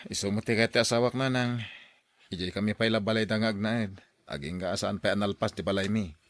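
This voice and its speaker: A man's voice: on the low side, steady tone, fairly quick, no room sound, lightly acted. A man playing a frank adult son who questions and reproaches his mother in an Ilocano radio drama, with an Ilocano accent. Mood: pleading.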